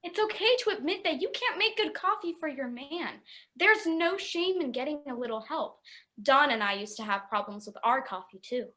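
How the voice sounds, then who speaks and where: Woman acting a patronizing housewife friend, high bright voice, sweetly earnest, polished vintage radio ad delivery. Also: lively intonation, moderate pace. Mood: confiding, smug. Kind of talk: 1950s-style radio commercial acting.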